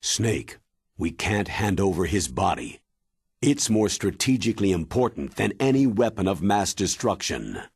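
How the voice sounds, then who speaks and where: An actor playing a stern military commander in a video game, giving a grave, authoritative briefing in a husky voice. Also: male voice, sits low, sing-song, measured pace, darkish tone, no room sound, studio quality, full character voice. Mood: grave, explanatory. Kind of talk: dramatic video game cutscene acting.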